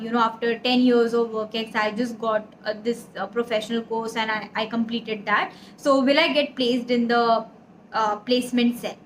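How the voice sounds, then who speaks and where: Woman with Indian accent, high voice, earnest advice-giver explaining directly to viewers; roomy echo. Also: fairly bright tone. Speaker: career advice host. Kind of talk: advisory talk to camera. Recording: echoey room, audible background noise.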